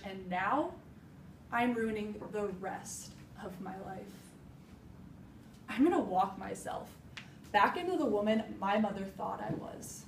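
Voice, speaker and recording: A young woman performing a dramatic monologue as a grieving, self-searching woman hiker, in deliberate, emphatic phrases with heavy pauses. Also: medium pitch. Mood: regretful, bitter, then determined. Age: young adult. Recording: roomy echo, distant mic, faint noise.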